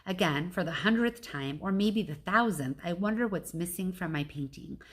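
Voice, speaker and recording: Woman reading aloud, slightly low voice. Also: expressive, moderate pace. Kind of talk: storybook narration with casual asides.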